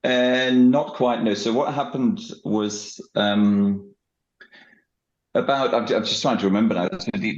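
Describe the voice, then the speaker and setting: A middle-aged man with a German accent, explaining things in a halting, conversational way as a podcast guest. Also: low-pitched, creaky, dull audio. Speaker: guest, academic researcher and book editor. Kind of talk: conversational podcast interview account.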